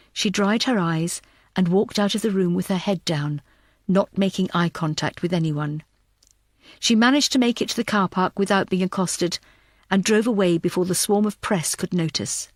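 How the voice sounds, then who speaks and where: A woman's voice: medium pitch, no echo, clean studio sound. A woman reading scripted narration for a thriller audiobook.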